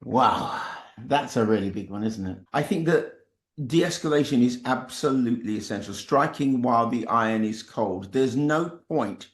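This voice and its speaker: A man's voice: medium pitch, expressive, moderate pace. A man with a British accent, a parent speaking earnestly to other parents. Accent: British English. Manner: earnest, says he has a loud voice. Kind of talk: candid talk to parents. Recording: echoey room.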